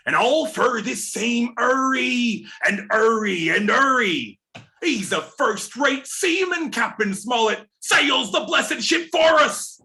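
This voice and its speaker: A wily old sea-cook pirate in a radio play. The voice is bright and forceful, swooping widely in pitch. He draws out his folksy sailor talk at an unhurried pace. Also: animated, exaggerated voice. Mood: scheming, impatient. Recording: remote video-call reading.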